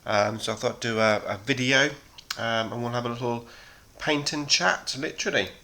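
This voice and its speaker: Man chatting while painting, bright. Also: mid-range voice, moderate pace. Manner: relaxed, rambling, many ums. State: sore throat, slightly hoarse. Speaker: hobby painting channel host. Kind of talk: casual chat while painting.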